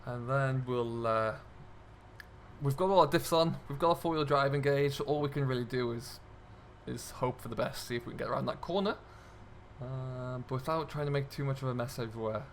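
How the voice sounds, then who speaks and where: A man's voice: medium pitch, a little fast, a little hiss or hum. A man hosting a gaming video, giving casual, chatty role-play commentary and talking himself through the driving as he plays.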